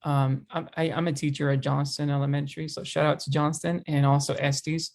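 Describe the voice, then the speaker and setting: A young man co-hosting a podcast discussion, speaking haltingly and searching for words, with many fillers. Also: a little high, level tone, vocal fry, a little mellow. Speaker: podcast co-host and teacher. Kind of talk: casual discussion.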